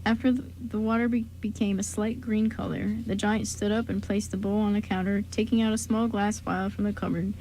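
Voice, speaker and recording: A woman's voice: mid-range voice, little pitch movement, fairly quick, hardly any pauses, warm tone, slight background noise, rough recording. A woman reading scripted story narration for an amateur radio drama.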